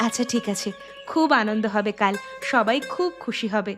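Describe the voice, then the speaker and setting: A woman's voice: mid-range voice, lively intonation, normal speed, over music, natural voice. A woman voicing a kind, earnest young village woman in a cartoon, speaking politely. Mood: earnest, grateful. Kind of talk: Bengali cartoon voice acting.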